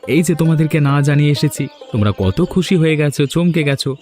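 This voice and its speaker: Guy playing a cheerful young village lad in a cartoon, higher voice, talks quick, affectionate. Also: expressive, mellow, soft background music, lightly acted. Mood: happy, affectionate. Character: cheerful, devoted young student son back from the city. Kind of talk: Bengali fairy tale cartoon dubbing.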